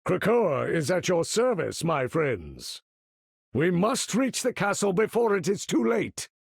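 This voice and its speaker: Game role: imperious older mutant leader, slow, gravelly, grandiose and formal. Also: male voice, sits high, very animated, dry recording, clean studio sound, full character voice. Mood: stately, grave. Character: proud, imperious older male mutant master of magnetism. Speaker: video game voice actor. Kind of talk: theatrical game character voice lines.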